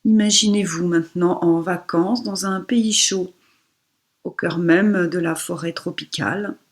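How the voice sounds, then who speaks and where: Very slow, breathy woman guiding relaxation. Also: lowish voice, steady tone. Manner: soothing, unhurried instructions with pauses. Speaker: yoga instructor. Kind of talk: guided relaxation meditation.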